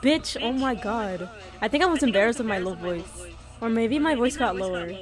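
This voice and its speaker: A young woman telling a comedic story from her childhood in a crude, joking, animated way. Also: on the high side, moderate pace. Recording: background music.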